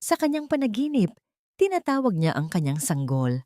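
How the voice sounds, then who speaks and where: A woman's voice: medium pitch, very animated, moderate pace, mellow, no echo, clean studio sound. A woman delivering scripted, dramatic narration as the storyteller of a romantic melodrama.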